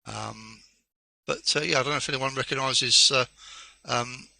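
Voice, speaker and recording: A man on an amateur radio net, explaining technical details step by step in a halting, casual way. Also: medium pitch, fairly even intonation, vocal fry, sharp tone, low-quality recording. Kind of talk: casual over-the-air chat.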